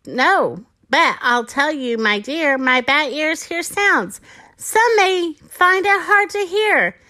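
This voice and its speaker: A woman's voice: high voice, expressive, slow pace, sharp tone, heavily acted. A woman voicing a courteous, helpful storybook bat who explains things in rhyme.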